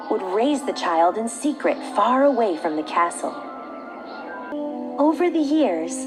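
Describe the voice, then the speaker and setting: A woman reading a scripted fairy tale for children as a storyteller. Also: on the high side. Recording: background music.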